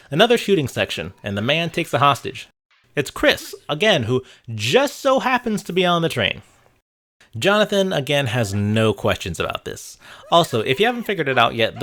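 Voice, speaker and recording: Male video essayist, mid-pitched and very animated, conversational scripted commentary with a sarcastic edge.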